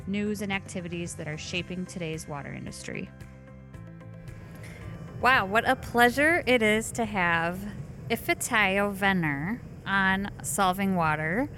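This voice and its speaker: A woman hosts a podcast with eager enthusiasm at a middling pitch. Her intro and questions sound read and prepared. Clear music plays behind her voice. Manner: enthusiastic, reads intro from script. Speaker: podcast host. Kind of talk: interview hosting.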